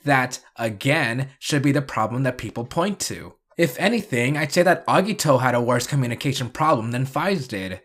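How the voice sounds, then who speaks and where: A man's voice: medium pitch, expressive, normal speed, few pauses, a bit bright. A man narrating a scripted video review and recap, with dry, sarcastic asides.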